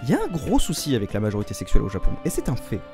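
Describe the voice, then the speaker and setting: A man delivers scripted commentary over background music. His voice is mellow and very lively in pitch. He argues quickly and emphatically, with casually crude turns of phrase. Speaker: host of a manga debate show. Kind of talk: scripted opinion commentary staged as a mock trial. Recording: music behind the voice.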